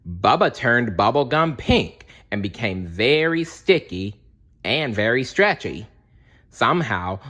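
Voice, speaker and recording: Animated, slow-paced man reading a storybook. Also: mid-range voice. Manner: dramatic, explains asides to young listeners. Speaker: storybook reader. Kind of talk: animated children's read-aloud narration.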